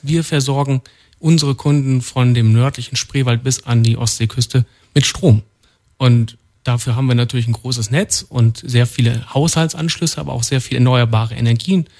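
Male guest from North Rhine-Westphalia, calm, matter-of-fact, mid-pitched. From North Rhine-Westphalia, Germany. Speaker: studio guest, department head. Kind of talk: radio interview answers. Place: radio studio.

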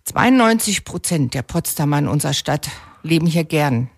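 A woman's voice: sits low, expressive, moderate pace, gravelly, husky, no room sound. Woman candidate in a dry-sounding radio interview. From Berlin, Germany. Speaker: mayoral candidate being interviewed. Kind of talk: radio interview answers, political.

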